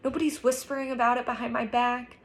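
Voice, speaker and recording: A woman's voice: on the high side, moderate pace, gravelly, pushed and bright. A woman voicing a defensive, guilt-ridden and tearful teenage girl in a story reading. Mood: upset, confessing.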